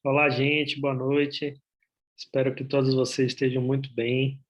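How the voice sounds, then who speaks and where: Bahian guy doc on a video call, pretty flat monotone, lots of "é" pauses. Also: medium pitch, lo-fi sound. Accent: Bahian Portuguese. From Bahia, Brazil. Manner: explanatory, with frequent "é" fillers. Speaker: gynecologist. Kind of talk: online medical presentation.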